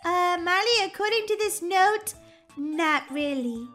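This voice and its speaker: Breezy, know-it-all young girl, played by a woman; bright, very high, matter-of-fact, for game roleplay. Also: expressive, unhurried, soft background music, heavily acted. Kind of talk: children's game roleplay acting.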